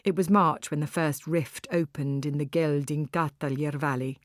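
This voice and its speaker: A woman's voice: sits low, steady tone, a little slow, no room sound, studio quality. A woman playing a wry, exuberant Icelandic cafe owner and volcano guide, narrating in the first person with theatrical, confiding asides. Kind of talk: dramatised first-person audiobook monologue.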